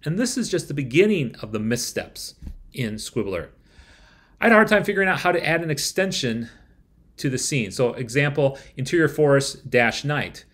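A man's voice: medium pitch, animated, normal speed, a bit bright. A man in his forties presenting a scripted review to camera, with wry rhetorical asides. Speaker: software reviewer. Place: small room at home, indoors.

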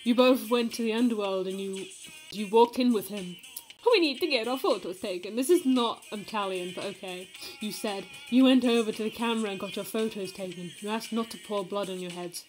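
A woman's voice: mid-range voice, a little fast, soft background music, poor audio. A woman giving a comedic, mock-dramatic reading of fanfiction, playful and giggly, breaking off to comment. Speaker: fanfiction reader.

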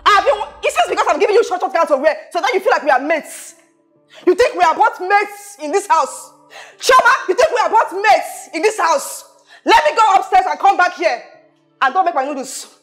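A woman's voice: very high-pitched, expressive, a little fast, soft background music. An actress playing a haughty, hot-tempered rich woman who scolds and repeats her questions emphatically in a film drama. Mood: furious scolding. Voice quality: loud, shouting when angered. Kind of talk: low-budget film drama acting.

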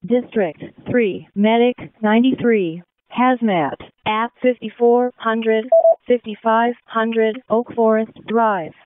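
A woman works as a fire dispatcher, reading out a call-out very slowly. Her voice is clear and a little high. It comes through a narrow, muffled emergency radio channel. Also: steady tone, dry recording.

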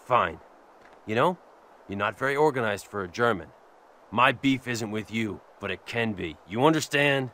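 An actor playing a tough, terse biker hero in his thirties with an American accent, deadpan and threatening, in a video game. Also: medium pitch, moderate pace. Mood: impatient, threatening. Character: tough brooding vengeful biker hero, curt and menacing. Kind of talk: video game cutscene acting.

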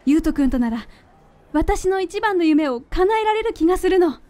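A woman acting in a radio drama as a gentle, sincere young girlfriend. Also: extremely high pitch, expressive, normal speed. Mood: moved. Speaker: voice actor.

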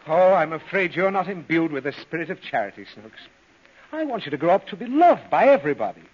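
A man's voice: fairly high pitch, sing-song, brisk, breathy edge. An actor playing an exasperated, headachy father, groaning and pleading in 1930s radio comedy style. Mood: earnest lecturing. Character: exasperated, suffering, headachy father of little girl. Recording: muffled, hissy old 1930s radio broadcast.

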